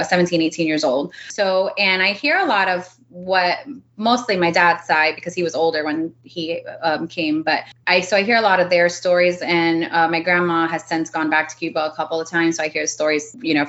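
A woman's voice: sits low, fairly even intonation, a little fast, a bit bright, muffled recording. A woman in her early thirties from Miami, a native Spanish speaker, chatting casually as a podcast guest with lots of fillers and laughs. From Miami, United States. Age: early 30s. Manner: chatty, giggly, many likes and fillers. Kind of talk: casual interview chat.

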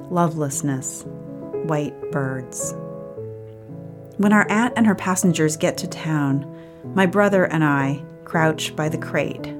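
Woman reading her poem, low voice, slow n measured, clear music underneath. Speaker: poet reading own poem. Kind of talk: literary poetry reading, measured.

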